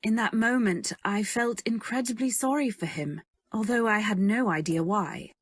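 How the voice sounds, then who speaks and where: A woman's voice: mid-range voice, moderate pace, no echo, clean studio sound, natural voice. A woman playing a modest, earnest young companion, narrating and speaking politely and wistfully in a radio drama. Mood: wistful narration. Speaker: narrator and heroine. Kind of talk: first-person narration in old-time radio drama.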